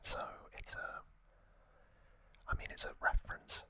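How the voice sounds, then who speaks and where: An ASMR host whispering a recap in a breathy, unvoiced whisper, in short halting phrases with pauses. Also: pushed and bright, no room sound. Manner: slow, halting, pausing between short phrases.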